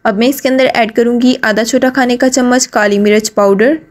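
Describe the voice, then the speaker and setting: Woman, slightly high-pitched, cooking voice-over, step-by-step instructions. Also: brisk. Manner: steady step-by-step instructions to the viewer. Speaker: cooking channel host. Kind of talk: recipe voice-over narration.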